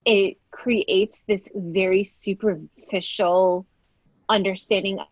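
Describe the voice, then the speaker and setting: A woman's voice: medium pitch, fairly even intonation, slow pace, breathy edge, no echo. Woman, Telugu first language, panelist, frank, emphatic, dry, dull lo-fi call audio.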